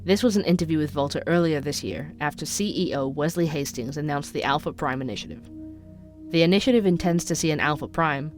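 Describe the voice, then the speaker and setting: Androgynous reporter voice played by an actor, medium pitch, wry, conversational podcast narration, audio drama, faint music. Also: a little fast, close to an everyday voice. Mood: wry, matter-of-fact. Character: dry, persistent young investigative podcast reporter.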